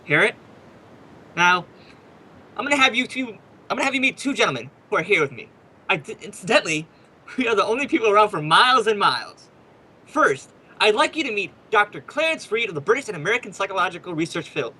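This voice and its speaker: Man acting brash radio ghost hunter, highish bright voice, showy, chatty, wisecracking, old-time radio drama. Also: very animated, fast, slight background noise, heavily acted, rough recording. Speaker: radio program host.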